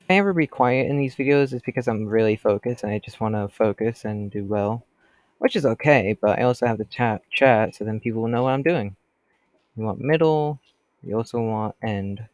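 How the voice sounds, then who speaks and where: A man's voice: fairly low pitch, a little fast, a little mellow, no room sound. A teenage boy with a stuffy cold voice, rambling casually as he comments on his gameplay. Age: teens. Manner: chatty, rambling, talks to customers.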